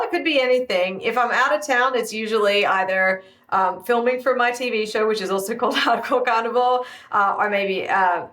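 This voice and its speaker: An Australian woman in her thirties talks through interview answers in a bright, chatty way, dotted with ums and quick asides. Her voice sits mid-range and carries a noticeable room echo. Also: medium pitch. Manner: chatty, upbeat, many fillers. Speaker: guest, live fire cook. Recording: echoey room.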